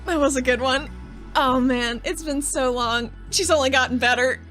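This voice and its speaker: A woman playing an excitable, easily starstruck young woman, gushing and flustered, in a tabletop game. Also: high voice, normal speed, faint music behind. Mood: shocked delight.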